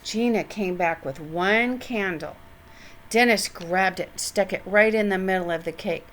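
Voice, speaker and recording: An older woman reading a children's book aloud as storyteller, with expressive, deliberate narration. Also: medium pitch, slight background noise.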